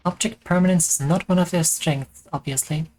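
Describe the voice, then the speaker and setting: A woman's voice: lowish voice, moderate pace, warm tone, rough recording. A woman from Germany with a German accent, chatting casually and rambling with self-mocking humor while she streams a game. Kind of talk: casual gaming chat.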